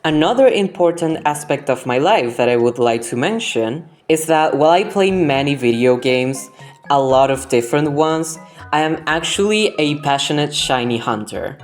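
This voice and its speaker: A woman's voice: low-pitched, lively intonation, normal speed. A young woman, a native Spanish speaker with a Spanish accent, narrating gameplay in a scripted voice-over as the host. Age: young adult. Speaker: gaming video host. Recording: background music under the voice. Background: music.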